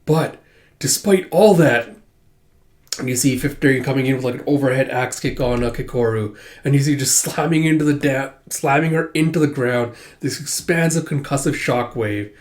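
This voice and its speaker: A man with a cold and a sore, stuffy throat recapping a manga chapter casually, halting often with filler sounds. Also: medium pitch, steady tone. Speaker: manga reviewer.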